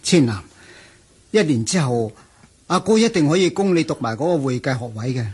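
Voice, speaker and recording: Responsible elder brother, young, Hong Kong Cantonese radio drama, slightly high husky voice. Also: male voice, very animated, brisk, darkish tone. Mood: earnest. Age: young adult.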